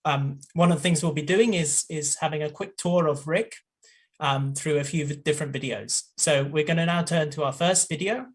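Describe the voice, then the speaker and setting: A man's voice: on the high side, moderate pace. A man hosting a live online science broadcast, introducing his colleagues with fillers and small laughs. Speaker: host.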